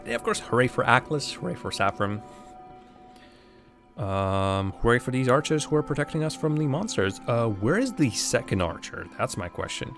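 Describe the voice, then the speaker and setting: A man's voice: medium pitch, big pitch swings, normal speed, fairly bright tone, faint music behind. A young man from Latvia, not a native English speaker, thinking aloud as he plays a game on a livestream and answers chat. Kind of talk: casual live gameplay commentary.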